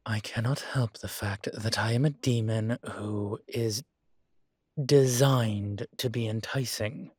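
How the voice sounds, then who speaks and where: A man playing a vain, seductive yet politely awkward incubus demon professor in an intimate audio roleplay, speaking hesitantly with drawn-out phrasing. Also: fairly low pitch, fairly even intonation, darkish tone, husky, no room sound, studio quality. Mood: vain, confessional. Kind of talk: intimate audio roleplay, one-sided conversation.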